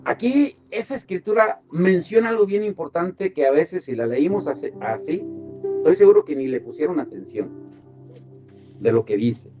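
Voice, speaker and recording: A man's voice: fairly high pitch, animated, moderate pace. A man teaching a devotional Bible study as a pastor. He asks the group questions and sends them to verses. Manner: asks rhetorical questions and directs listeners to verses. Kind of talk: teaching sermon. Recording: slightly dull with music behind.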